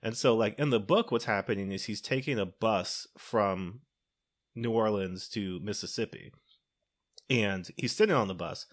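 A man's voice: lowish voice, normal speed. A man hosting a podcast, talking through a film in a loose conversational way with lots of fillers. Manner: conversational, explains at length with many fillers. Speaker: podcast host. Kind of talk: casual film discussion.

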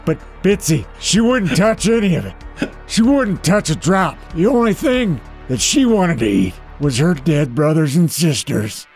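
A man's voice: on the high side, sing-song, a little slow, faint music behind, voice pushed up. A man voicing a tough, threatening, protective female troll businesswoman with a Southern American drawl and a strained voice. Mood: grim, dramatic.

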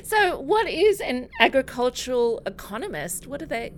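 A woman hosting an interview podcast, enthusiastic and quick to exclaim. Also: high voice, sing-song, a little hiss or hum. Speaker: podcast host.

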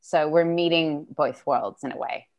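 Low-voiced woman, earnest and chatty. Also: unhurried, gravelly. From Australia. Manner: warm, earnest, laughs often, occasional swearing. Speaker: guest, business founder. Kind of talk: casual interview chat.

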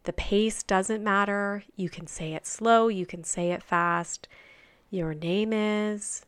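A woman guiding a grounding meditation, speaking softly and soothingly, with phrase endings that fade into near-whispers. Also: medium pitch, fairly even intonation, clean tone, no room sound. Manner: soft, slow and soothing, trailing off into near-whispers. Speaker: meditation guide.

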